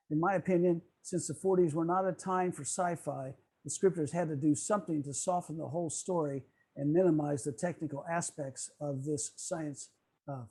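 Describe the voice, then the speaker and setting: Higher-pitched, mellow male presenter. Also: low-quality recording. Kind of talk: prepared club lecture. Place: video call.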